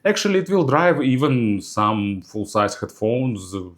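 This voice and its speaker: A man reviewing audio gear in halting, non-native English, often hesitating mid-sentence as he gives his commentary. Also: medium pitch, sing-song. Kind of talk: semi-scripted product review commentary.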